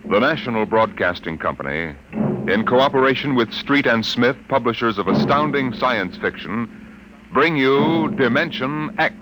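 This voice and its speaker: A man announcing a 1950s radio science fiction show in a dramatic, measured way. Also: medium pitch, expressive, noisy background. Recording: old radio broadcast with music.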